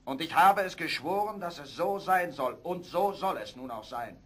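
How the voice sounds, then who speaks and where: Old fairy-tale king, male narrator in role, husky, angry, commanding father with emphatic delivery. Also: fairly high pitch, animated, normal speed, a bit bright, pitched above the performer's own voice. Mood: stern anger.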